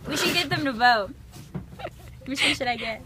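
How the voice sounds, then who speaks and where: Playful young woman with a bright high voice. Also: expressive, moderate pace. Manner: playful, joking. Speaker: vlogger. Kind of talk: casual chat among friends. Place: busy thrift store, indoors. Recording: crowded store chatter behind.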